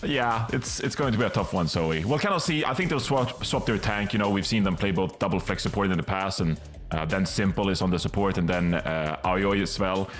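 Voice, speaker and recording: A man's voice: sits low, fairly quick, soft background music. A man talking as an esports analyst at a desk, upbeat and a bit self-deprecating. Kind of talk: fast analyst desk chat.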